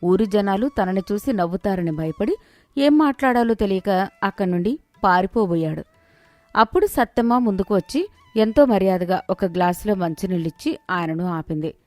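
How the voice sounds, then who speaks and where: A woman's voice: medium pitch, expressive, moderate pace, darkish tone, no echo. Female storyteller for scripted moral-tale narration, close dry studio sound, music bed. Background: background music.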